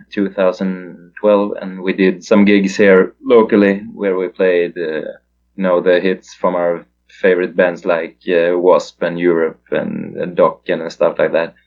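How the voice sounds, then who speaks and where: Low flat-voiced Swedish man. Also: lo-fi sound. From Sweden. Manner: hesitant, lots of uh fillers. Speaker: musician guest. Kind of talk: casual phone interview.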